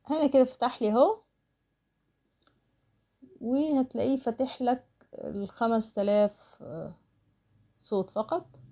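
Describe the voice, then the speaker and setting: Egyptian female tutorial instructor, higher-pitched, casual and practical, talks through each step with English terms mixed in. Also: a little slow, long pauses, dark tone. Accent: Egyptian Arabic. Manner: explains while doing, with English terms mixed in.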